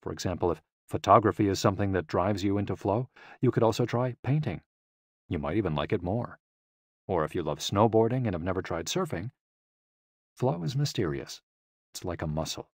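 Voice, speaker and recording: Low-voiced male audiobook narrator, dry recording. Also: animated, fairly quick, husky, no echo.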